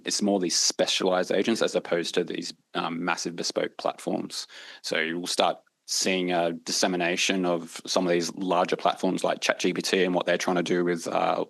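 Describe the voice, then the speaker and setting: A very deep, gravelly-voiced man answers on a tech panel in a flat, even tone. He talks haltingly, full of fillers and jargon, and the sound is dry and close. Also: dry recording. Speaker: panelist, tech company representative.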